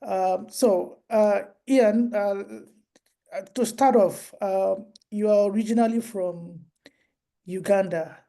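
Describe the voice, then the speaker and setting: A woman hosting an online book discussion over a video call, speaking in a semi-formal, halting and deliberate way. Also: medium pitch, dark tone.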